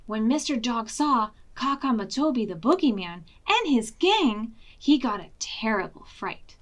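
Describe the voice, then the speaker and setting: A woman reading picture books aloud to young children as a storytime host, with expressive, deliberate phrasing and hushed dramatic asides. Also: on the high side. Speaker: children's librarian hosting preschool storytime.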